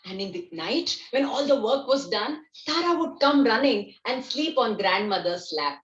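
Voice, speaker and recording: An Indian-accented woman tells folktales in a slightly high voice, shaping her phrases with care and expression. The sound is clearly echoey and a little dull, as from a video call microphone in a room. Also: lively intonation, moderate pace. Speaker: storyteller. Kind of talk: live oral folktale storytelling. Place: indoors on a Zoom video call.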